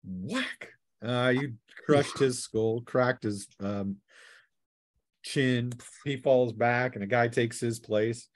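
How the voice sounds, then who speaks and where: A man's voice: medium pitch, fairly even intonation, slow pace, slight background noise. Man running a tabletop game. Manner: acts out sound effects and cries. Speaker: game master of a tabletop role-playing game. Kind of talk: casual improvised game narration.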